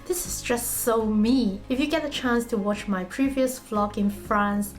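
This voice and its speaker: A woman vlogger whose first language is Cantonese talks in an earnest, chatty way. Her voice sits a little high, and faint music plays under her. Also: unhurried. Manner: earnest, chatty, self-referencing. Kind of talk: casual explanatory vlog.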